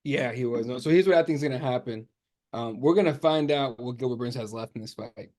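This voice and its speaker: A man speaking English as a second language, talking sports in an animated way, with lots of fillers and restarts as he thinks aloud. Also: medium pitch, a little fast. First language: not English. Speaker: MMA journalist guest. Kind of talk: casual sports podcast chat.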